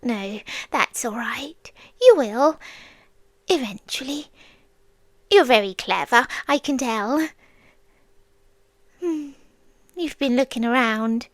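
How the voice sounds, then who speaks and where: Woman playing a high-voiced, eerie British sea demon girlfriend. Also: lively intonation, dry recording. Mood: quietly menacing. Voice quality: soft, breathy, often whispering. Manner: slow, coaxing, deliberate pauses. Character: possessive childlike female sea demon, tender and eerie. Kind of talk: ASMR roleplay.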